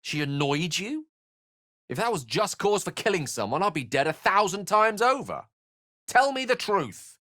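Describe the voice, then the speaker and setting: Male scholarly wizard, fantasy video game, articulate, theatrical, wry, widely varied pitch, dry studio sound. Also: very animated, normal speed, bright, forceful tone, no room sound, heavily acted. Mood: urgent, impassioned.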